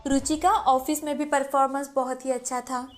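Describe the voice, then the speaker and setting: High-pitched woman narrating children's stories. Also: little pitch movement, normal speed, soft background music. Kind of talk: scripted moral story narration for children.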